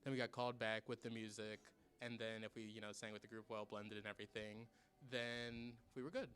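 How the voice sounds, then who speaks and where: A man's voice: fairly low pitch, level tone, brisk, fairly bright tone. Casual young male student singer. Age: young adult. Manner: casual, with fillers. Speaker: a cappella group member. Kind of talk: interview.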